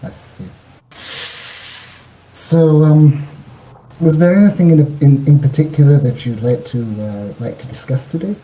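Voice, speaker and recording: Mellow, muffled-toned man hosting a debate. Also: a little high, a little slow, pauses often. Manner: hesitant, stammering with repeated words. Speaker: debate host. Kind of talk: conversational debate moderation. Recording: noisy room sound.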